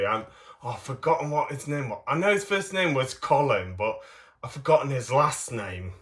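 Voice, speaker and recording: A man giving a casual, enthusiastic show-and-tell as a hobbyist, rambling and halting in mid-sentence. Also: medium pitch, fairly bright tone. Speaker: hobbyist presenter of custom toy figures.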